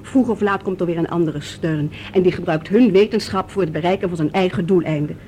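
A woman's voice: fairly low pitch, brisk, mellow, natural voice. Insistent woman doctor, played by an actress, faint hiss. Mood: indignant. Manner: hesitant starts, insistent arguing. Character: female doctor, hesitant yet stubbornly distrustful and ruthless. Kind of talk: Dutch science-fiction radio drama acting. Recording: noisy old radio drama recording.

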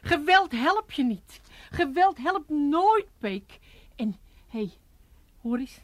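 An actress playing a caring, practical middle-aged wife with an Amsterdam accent in a comic radio play, soothing and matter-of-fact. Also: female voice, high-pitched, very animated, slow, dry recording, heavily acted. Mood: consoling. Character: caring, practical working-class wife, consoling and obliging.

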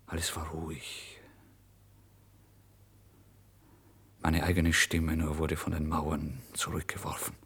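A man acting a starving, feverish, proud narrator near madness in a dramatic radio play monologue, intense and theatrical, swinging between hush and outcry. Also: a bass voice, monotone, moderate pace, breathy edge, full character voice. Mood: exhausted, hushed.

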